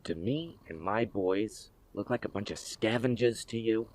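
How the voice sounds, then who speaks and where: A man's voice: fairly low pitch, measured pace, a little mellow, lightly acted. A man playing a smug, menacing gang boss in an audio drama, taunting and condescending as he draws out his words. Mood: mocking. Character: smug, menacing male boss of a hit squad.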